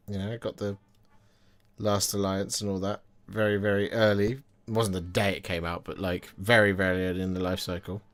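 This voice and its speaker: A man's voice: fairly low pitch, a bit bright, smooth. A man in his thirties chatting casually and candidly, rambling and correcting himself as he goes. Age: 30s. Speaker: hobby streamer. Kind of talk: casual chat while painting miniatures. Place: home painting desk.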